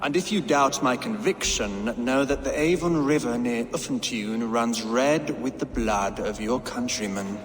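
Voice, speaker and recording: An actor playing a calculating Saxon king who speaks in a measured, coolly menacing way, in a video game. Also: mid-range voice, roomy sound, long reverb tail, lightly acted. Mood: cold.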